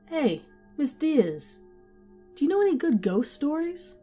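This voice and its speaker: A woman's voice: high voice, sing-song, a little slow, dark tone, soft background music, pitched above the performer's own voice. A woman voicing an eager, pushy young man who is always after a thrill, raising her voice well above her own.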